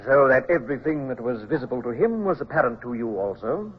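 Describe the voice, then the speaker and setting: An actor playing a formal, officious British court examiner, questioning in a radio drama. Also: male voice, mid-range voice, expressive, fairly quick, breathy edge. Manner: officious, interrupting. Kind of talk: 1950s radio drama acting. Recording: tinny old radio recording.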